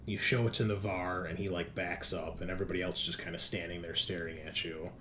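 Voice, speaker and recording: A man working as game master, improvising descriptive narration for a tabletop horror game in a halting way. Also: low-pitched, fairly even intonation, pushed and bright, slight background noise.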